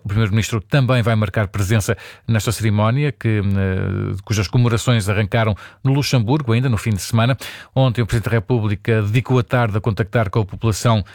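Male news anchor, fast delivery, dry close studio sound. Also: on the low side, darkish tone, no echo. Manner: brisk, formal, occasional slips. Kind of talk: read news bulletin. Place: radio studio. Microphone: studio mic.

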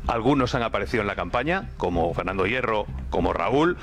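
A man's voice: lowish voice, expressive, fairly quick, a bit bright. A man with a Castilian Spanish accent giving his opinion in an unscripted football debate, building points in lists. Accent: Castilian Spanish from Spain. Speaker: sports radio pundit.

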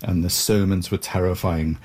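A man's voice: deep voice, fairly even intonation, normal speed, darkish tone, husky. A middle-aged man, interviewed, telling a family story and explaining history in a thoughtful, explanatory way. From Wales. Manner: thoughtful, explanatory, with small restarts. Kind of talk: reflective storytelling.

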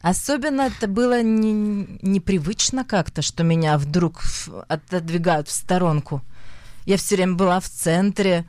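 A young woman from Russia with a Russian accent, an actress giving an interview, often pausing and searching for words. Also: lowish voice, darkish tone, no room sound. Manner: hesitant, searching for words, frequent fillers. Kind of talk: conversational interview.

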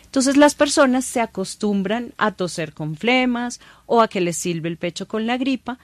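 Calm woman doctor explaining. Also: fairly high pitch, normal speed, dry recording. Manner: calm, patient, explains plainly with everyday examples. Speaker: doctor, guest expert. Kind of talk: explanatory interview talk.

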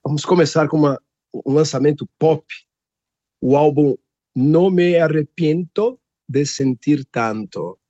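A man's voice: measured pace, no echo, muffled recording. A Brazilian man hosting a radio music show from his home studio, chatting casually and joking as he introduces songs. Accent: Brazilian Portuguese. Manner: chatty, joking, lively pitch swings.

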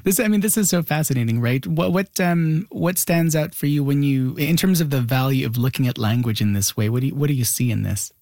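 A man's voice: medium pitch, brisk, few pauses, a little mellow, no echo, clean studio sound. A male radio host interviewing a guest, enthusiastic and curious, asking questions with fillers.

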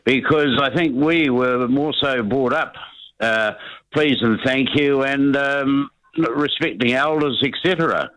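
A man's voice: medium pitch, unhurried, a bit bright, no echo, rough recording. A man with a New Zealand accent, a regular radio caller, dryly sardonic and hesitant with ums. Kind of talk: casual phone-in chat.

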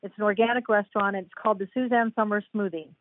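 A woman's voice: medium pitch, steady tone, fast. Older woman on a tinny call line. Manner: chatty, rambling storyteller with many fillers. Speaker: podcast guest, author. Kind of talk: casual interview chat. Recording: tinny phone-like line, dry.

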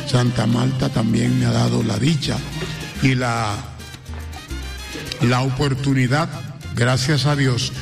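A man's voice: medium pitch, level tone, slow pace, gravelly, long pauses, over music. A man with a Dominican accent speaking as a guest singer in a radio studio, earnest and grateful, dwelling on blessings. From Dominican Republic. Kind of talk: radio interview.

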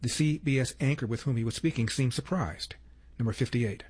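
A man's voice: mid-range voice, brisk, a little mellow, husky. A man hosting a political show, introducing clips with sardonic, skeptical remarks. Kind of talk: commentary between clips.

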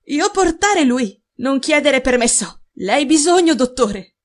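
A woman's voice: high voice, lively intonation, a little slow, voice pushed up. A woman reader voicing a frightened, indignant young maid who pleads agitatedly in broken sentences in an audiobook story. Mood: urgent, pleading.

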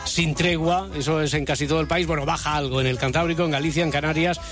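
The man steering a Spanish morning radio show over a music bed, highish voice, Spain accent, chipping in constantly. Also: steady tone, fast talker, fairly bright tone. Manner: chatty, interjecting. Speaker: radio host. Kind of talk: live radio banter.

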